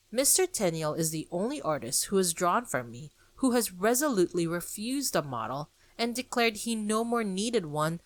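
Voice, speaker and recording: Lively, lower-voiced woman telling a story. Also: no echo. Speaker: podcast host. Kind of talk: scripted narration on art history.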